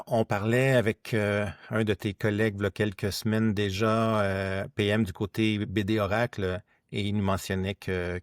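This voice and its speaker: Low-voiced Quebec man, halting podcast talk. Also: dry recording, clean studio sound. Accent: Quebec French. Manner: halting, repeats words. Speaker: podcast host. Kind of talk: casual tech podcast conversation.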